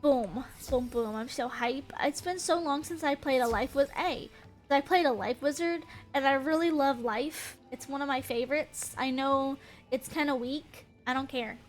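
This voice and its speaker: A woman's voice: sits high, normal speed, faint music behind. A young woman chatting casually while streaming a game, giggly and rambling, with a stuffy, congested nose. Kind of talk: casual gameplay chat.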